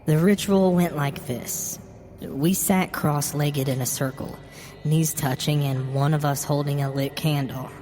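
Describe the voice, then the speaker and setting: A woman's voice: low voice, fairly even intonation, a little slow, dark tone. A woman reading a scripted first-person horror story as its narrator. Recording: long reverb with music behind. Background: music.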